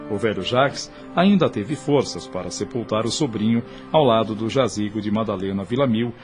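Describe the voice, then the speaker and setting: A man with a Brazilian accent reads formal, solemn, declamatory narration for an audio soap opera. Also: lowish voice. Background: prominent music.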